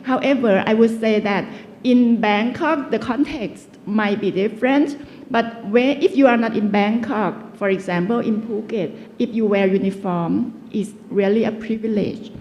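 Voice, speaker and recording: A woman's voice: fairly high pitch, measured pace, darkish tone, slight background noise. A woman giving a guest lecture in a chatty, conversational way, often stopping to search for words. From Thailand. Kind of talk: casual lecturing. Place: lecture hall. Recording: long reverb of a lecture hall.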